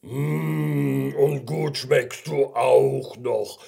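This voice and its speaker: Huge slow booming brown bear. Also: male voice, fairly even intonation, gravelly, warm tone, slightly rough, exaggerated voice. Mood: cozy, affectionate. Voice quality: deep, booming. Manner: slow, drawn-out, grumbling. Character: huge, slow-witted, lonely, affectionate male brown bear.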